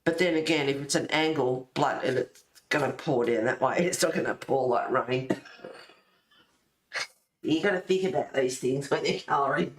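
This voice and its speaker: A man's voice: mid-range voice, normal speed, reverberant, slight background noise. A man chatting casually and informally while colouring, rambling and thinking aloud as he goes. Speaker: host of a colouring channel.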